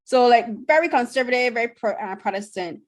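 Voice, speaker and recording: A woman's voice: on the high side, animated, a little fast. A young woman from Barbados co-hosting a podcast, chatting casually about shows she watched, with lots of fillers and restarts. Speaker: podcast co-host.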